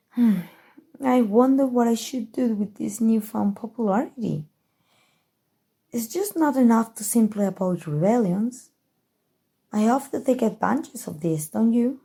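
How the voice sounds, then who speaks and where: A woman's voice: mid-range voice, dark tone, close to an everyday voice. A woman playing a haughty, scheming evil queen in an ASMR roleplay, with a regal, theatrical, condescending manner. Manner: regal, theatrical, condescending, drawling with amused disdain. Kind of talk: ASMR roleplay audio drama.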